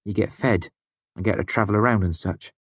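A man voicing an eager sixteen-year-old smith's apprentice, earnest and quick to bristle when called a boy. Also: fairly low pitch, steady tone, breathy edge, no room sound, voice pushed up. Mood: excited. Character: teenage male smith's apprentice, eager, defensive.

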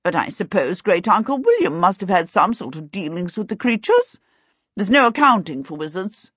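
A woman's voice: medium pitch, very animated, moderate pace, a bit bright, slightly rough, dry recording, muffled recording. A woman voicing a stately, imperious aunt in a storybook, haughty, commanding and formal. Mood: brisk, dismissive.

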